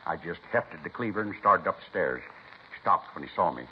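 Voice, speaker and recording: Earnest, simple male butcher, deep folksy voice, plain-spoken, 1950s radio acting on a dull, hissy recording. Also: lively intonation, brisk.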